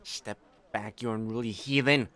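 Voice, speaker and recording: A man's voice: lowish voice, fairly even intonation, moderate pace, noisy background, natural voice. A man playing a proud, possessive noble fiancé in a romantic audio roleplay, haughty and formal in speech and easily flustered. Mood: haughty, indignant.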